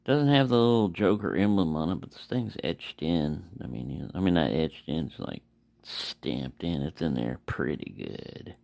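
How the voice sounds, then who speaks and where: A man's voice: very deep voice, lively intonation, normal speed, vocal fry, a little mellow, no room sound. A man giving a casual, unscripted product review, rambling and correcting himself as he goes. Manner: rambling, self-correcting, mumbling asides. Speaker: knife reviewer.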